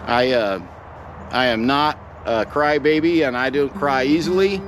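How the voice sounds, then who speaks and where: A man's voice: medium pitch, unhurried, slight background noise. A middle-aged man sharing a personal story in a reflective, sincere, plain-spoken way, as if chatting to viewers. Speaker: pipe vlogger telling a personal story.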